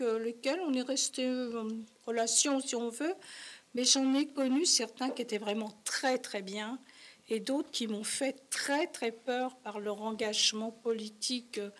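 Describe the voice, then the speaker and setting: An older woman, a talk show guest, recalling her experiences conversationally in plain, short phrases. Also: a little high. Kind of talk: conversational personal recollection.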